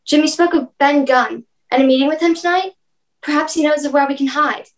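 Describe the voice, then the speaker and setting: A woman's voice: high voice, brisk, natural voice. A young woman playing a resolute, commanding ship's captain in an amateur radio play, speaking firmly. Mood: grave.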